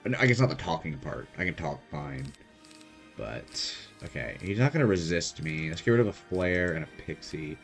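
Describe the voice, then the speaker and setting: A soft-spoken young man casually commenting on his gameplay as host. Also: low voice, sing-song, moderate pace, creaky, faint music behind. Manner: quiet, soft-spoken, laid-back. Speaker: gaming channel host.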